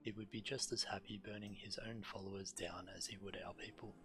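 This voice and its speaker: A man's voice: fairly low pitch, steady tone, fairly quick, over music. A man who streams games, reading quest text aloud.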